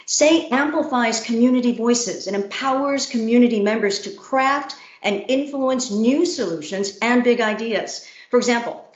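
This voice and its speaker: A woman hosting an event, reading a scripted presentation in a clear, measured way. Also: on the high side, reverberant, highs cut off. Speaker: event host.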